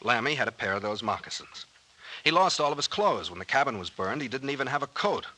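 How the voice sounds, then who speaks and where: A man's voice: mid-range voice, expressive, fast, sharp tone, low-quality recording. A man acting in an old-time radio drama as a confident, genial sea captain sleuth with an American accent, explaining things in a self-assured way. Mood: assured, animated.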